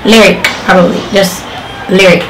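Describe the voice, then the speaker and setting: A woman's voice: medium pitch, steady tone, moderate pace. Chatty woman vlogging in an echoey room. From Jamaica. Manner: chatty, rambling, many fillers and restarts. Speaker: beauty vlogger reviewing hair. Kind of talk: casual talk to camera. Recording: echoey room with faint noise.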